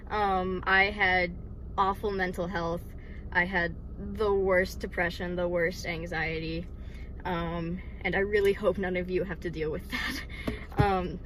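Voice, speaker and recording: A woman's voice: on the low side, fairly bright tone, a little hiss or hum, rough recording. A woman in her twenties from Michigan, casually sharing her personal faith story with frequent laughs, ums and likes. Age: 20s. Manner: giggly, many ums and likes. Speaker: vlogger sharing testimony.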